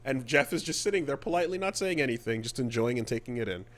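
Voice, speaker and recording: A man with a medium-pitched voice chats casually as a podcast co-host. He thinks aloud in a halting way, doubling back on words as he talks about games. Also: animated. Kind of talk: casual gaming podcast chat.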